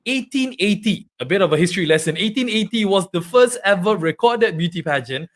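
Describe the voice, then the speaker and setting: A man's voice: sits high, expressive, a little fast. A man from Malaysia hosting a casual interview, upbeat and chatty, leading with questions. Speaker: talk show host.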